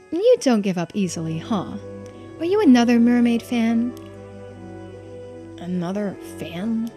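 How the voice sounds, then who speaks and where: Woman, mid-pitched, mellow tone, voiced game playthrough, conversational acted dialogue, faint music behind. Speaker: streamer reading game dialogue aloud. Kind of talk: voiced playthrough of a visual novel, reading character dialogue.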